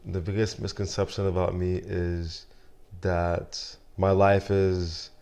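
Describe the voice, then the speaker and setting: A young man from Nigeria, a guest in a casual interview, talking in a soft, reflective way with many fillers and restarts. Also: deep voice, level tone, slow pace, gravelly, smooth.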